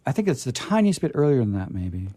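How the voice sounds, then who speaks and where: A man hosts a casual radio chat in a soft, mellow voice at a middle pitch. He is whimsical and likes to repeat himself. The sound is close and dry. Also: big pitch swings, quick pace, breathy edge, no room sound. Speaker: radio show host. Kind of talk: casual chat, promoting book events.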